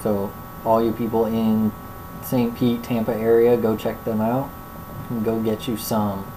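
Very deep-voiced casual woman host. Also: little pitch movement, a little slow, background noise. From Florida, USA. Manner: easygoing, enthusiastic, slangy. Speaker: interviewer, host. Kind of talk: casual interview.

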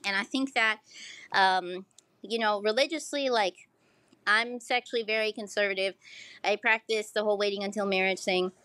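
A woman's voice: medium pitch, fairly even intonation, normal speed, sharp tone, no room sound. A woman with an American accent speaking candidly in a casual interview, swearing freely and often saying "like". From United States. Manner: frank, casual, sweary, frequent "like". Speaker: podcast guest, pole dancer.

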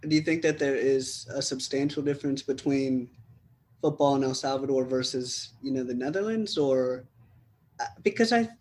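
A man's voice: medium pitch, moderate pace, darkish tone. A man from the United States interviewing a footballer, asking long, rambling questions in a chatty way.

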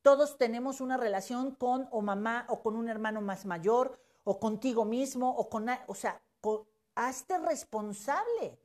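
A woman's voice: on the high side, a little slow, a little mellow. A Mexican woman in her fifties giving an emphatic, preachy motivational talk on social media, with casual swearing. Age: 50s.

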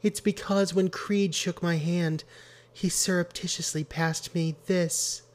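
A man voicing an earnest, gentle woman with a soft, grave manner, as an audiobook character. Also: high voice, slow, pitched above the performer's own voice. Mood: soft, pained. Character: earnest, gentle, sad woman amateur detective.

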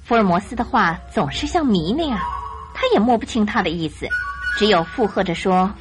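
A woman narrating a radio drama from a script in an expressive storytelling manner. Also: mid-range voice, brisk. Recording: radio drama with music behind.

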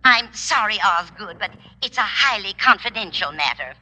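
Fussing, bright-voiced older landlady. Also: mid-range voice, expressive, normal speed, poor audio. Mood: flustered, confiding. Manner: apologetic, motherly. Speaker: supporting actress in a radio sitcom. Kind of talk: old-time radio comedy acting. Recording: vintage radio comedy recording.

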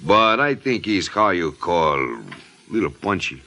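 A smooth, menacing Italian mobster with a low voice and forceful edge. He speaks broken English with calm mockery, in the manner of an old radio crime drama. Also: a little slow, full character voice. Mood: calm menace. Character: menacing, smooth-talking Italian immigrant gang boss. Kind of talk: 1940s radio acting.

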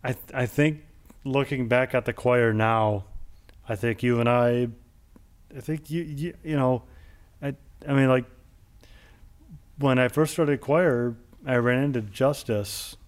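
Male host, middle pitch, easygoing and rambling, casual chat. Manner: rambling, reminiscing with many "like"s. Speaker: show host.

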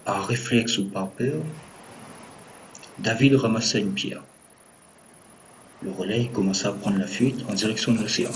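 A man narrating a scripted audio drama as a storyteller, in measured short phrases. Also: lowish voice, a little hiss or hum, rough recording. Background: music.

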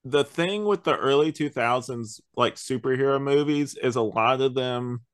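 A man's voice: medium pitch, normal speed, clear, resonant tone. A man co-hosting a podcast, giving rambling, slangy opinions in a casual review chat. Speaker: podcast co-host.

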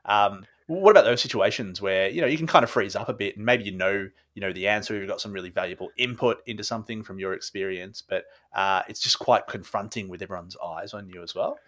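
A male podcast host poses a question in a conversational, measured way, pausing as he finds his words. His voice sits somewhat low. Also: a bit bright.

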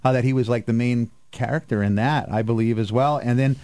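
A man's voice: medium pitch, fairly even intonation, brisk, mellow. A man hosting a casual radio panel discussion, opinionated and emphatic. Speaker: radio show host.

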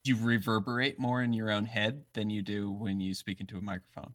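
A man's voice: fairly low pitch, fairly even intonation, a little fast, a bit bright. A man co-hosting a casual podcast, dry and reasoned, often stammering as he restarts his points. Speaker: podcast co-host.

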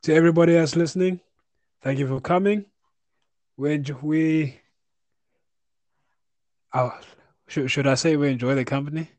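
A man co-hosting a casual MMA podcast over a remote call, relaxed and loose, repeating words and calling people "bro" and "man". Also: on the high side, moderate pace, long pauses, dry recording. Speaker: podcast co-host.

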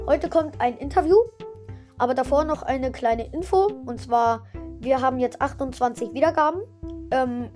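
A woman's voice: high voice, darkish tone. A woman casually hosting her own podcast and interviewing a guest, chatty and often stumbling over her words. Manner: chatty, stumbling, many fillers and restarts. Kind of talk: casual home podcast chat.

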